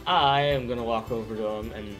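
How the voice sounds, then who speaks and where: A man's voice: mid-range voice, steady tone, a little fast, poor audio. A young adult man chatting casually as a player in a tabletop game. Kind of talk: casual chat. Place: living room around a table. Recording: music clearly behind.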